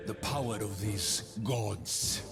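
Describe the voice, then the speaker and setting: An actor playing a grim, defiant, battle-worn warrior in a video game, solemn and deliberate, with a foreign accent. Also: male voice, lowish voice, vocal fry. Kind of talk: dramatic video game character voice lines. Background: cinematic theme music.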